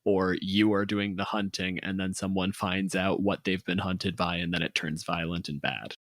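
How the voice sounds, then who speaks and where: A man in a casual planning chat, thinking aloud in a halting way and swearing casually. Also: low voice, level tone, fairly bright tone, dry recording, studio quality.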